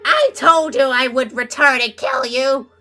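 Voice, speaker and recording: A woman's voice: high voice, a little slow, pushed and bright, faint music behind, pitched above the performer's own voice. A woman voicing a vengeful male demon who shrieks his threats. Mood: menacing.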